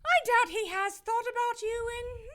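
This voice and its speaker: A woman's voice: very high voice, fairly even intonation, normal speed, a bit bright. A woman playing a stern, righteous cherub in an audio drama, severe, commanding and sanctimonious. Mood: wrathful threat. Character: stern, righteous warrior cherub, threatening angel of wrath.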